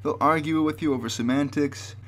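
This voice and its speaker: A man's voice: fairly high pitch, fairly even intonation, brisk, a bit bright. Man narrating a scripted explainer.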